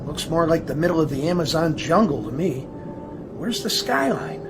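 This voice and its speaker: A man's voice: on the high side, very animated, normal speed, noisy background. A man acting in a radio drama as a dutiful, uneasy junior member of an airline crew. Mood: bewildered. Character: dutiful, uneasy male junior flight crewman. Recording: music behind the voice.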